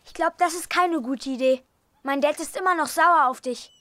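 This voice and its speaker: An actor playing a naive, cheerful middle-school boy in a German audio drama, guileless and excitable in manner. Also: sits high, moderate pace. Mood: matter-of-fact.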